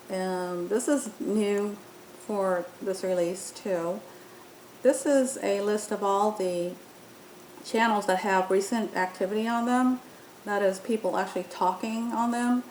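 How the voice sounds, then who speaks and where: A woman talks through a software demo in an informal, explanatory way, one step at a time. Her voice sits at an average pitch. The recording is echoey, like a bare room, with faint rumbling noise underneath. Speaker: app tutorial presenter. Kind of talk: informal software walkthrough narration. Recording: roomy echo, faint rumbling background noise.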